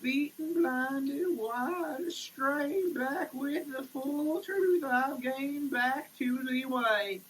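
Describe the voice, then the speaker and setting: A woman giving a dramatic reading in a theatrical, halting, declamatory manner. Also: sits high, a bit bright, a little hiss or hum, poor audio.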